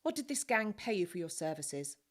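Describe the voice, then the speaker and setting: A woman's voice: lowish voice, very animated, brisk, pitched above the performer's own voice. A woman voicing a pompous male army lieutenant who questions formally as a prosecutor in an audiobook. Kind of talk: audiobook character dialogue.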